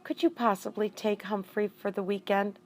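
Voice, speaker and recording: A woman's voice: medium pitch, steady tone, normal speed, pitched above the performer's own voice, lightly acted. A woman voicing a stern, businesslike female schoolteacher in a children's story, with a firm classroom address. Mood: pleading.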